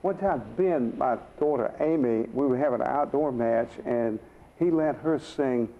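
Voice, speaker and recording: A man's voice: mid-range voice, measured pace. A middle-aged or older man hosting a casual, folksy, agreeable chat interview. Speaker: midday show host. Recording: slightly dull, lo-fi sound.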